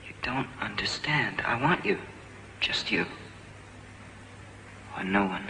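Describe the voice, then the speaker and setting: A man playing a brooding, lonely, yearning misfit, hushed and halting, in an acted dramatic scene. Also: on the low side, expressive, full character voice, poor audio. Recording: harsh bright sound, faint noise.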